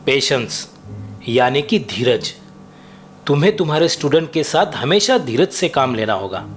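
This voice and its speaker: A man voicing a wise, kindly senior schoolteacher in a cartoon, giving measured, encouraging advice. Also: mid-range voice, very animated, faint music behind, natural voice. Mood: warm, advising. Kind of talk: cartoon voice acting.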